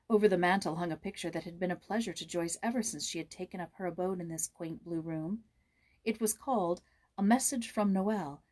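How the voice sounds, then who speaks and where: A woman with a mid-pitched voice narrates a children's book. Her read-aloud style is expressive, shaped for storytelling. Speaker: audiobook narrator.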